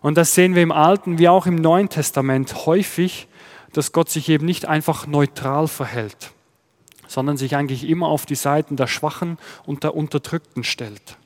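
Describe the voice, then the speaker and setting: A male pastor with a Swiss German accent gives a sermon in a steady, explaining manner, asking his listeners rhetorical questions. His voice sits a little higher than most men's. The sound carries a long reverb, as in a church hall. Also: normal speed. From Switzerland.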